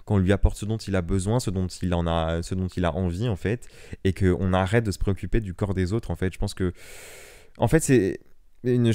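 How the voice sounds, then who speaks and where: Young guy doing a solo podcast, fired up and earnest, kinda low warm voice. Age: young adult. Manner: earnest and impassioned, many restarts and fillers. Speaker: podcast host.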